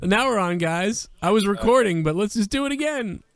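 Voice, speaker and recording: A man co-hosting a live talk show, excitable and given to swearing. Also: high voice, brisk, heavy background noise. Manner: excitable, swears at the game on screen. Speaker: co-host.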